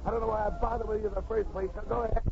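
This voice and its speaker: An actor playing a gruff, streetwise American policeman, blunt and urgent, in 1950s radio drama style. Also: high voice, little pitch movement, racing. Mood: angry, exasperated. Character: gruff, streetwise New York policeman, stubborn but caring. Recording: dull, noisy 1950s radio recording.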